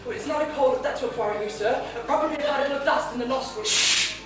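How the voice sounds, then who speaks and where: High-pitched, grovelling young clerk played by a woman, distant and echoey. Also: quick pace, close to an everyday voice. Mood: flustered, apologetic. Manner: flustered, pleading, over-polite, stammering. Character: anxious, grovelling young male minor clerk, obsessively apologetic. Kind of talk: farcical amateur stage comedy. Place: small theatre stage, far from mic. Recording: distant, echoey, noisy theatre stage recording.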